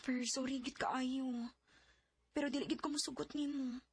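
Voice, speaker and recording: A woman's voice: high voice, fairly even intonation, normal speed, husky, exaggerated voice. An actress playing a young woman reluctantly turning down a suitor, hesitant, with a Bisaya accent, in a Filipino radio drama. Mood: apologetic, blunt.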